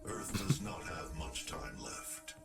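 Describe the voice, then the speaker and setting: Gravelly, solemn game announcer. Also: male voice, deep voice, animated, slow, soft background music, exaggerated voice. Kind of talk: scripted game cutscene dialogue.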